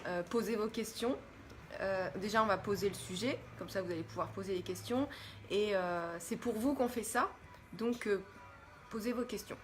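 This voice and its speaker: A woman's voice: mid-range voice, moderate pace, faint music behind. Chatty woman hosting a live stream. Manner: chatty, warm greetings to viewers, many fillers. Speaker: host. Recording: faint background noise.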